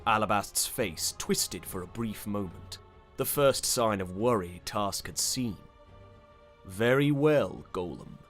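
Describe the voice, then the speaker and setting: Slow, low-voiced male audiobook narrator. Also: fairly bright tone, soft background music. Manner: measured, deliberate, intense. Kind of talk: dramatic scripted fantasy narration.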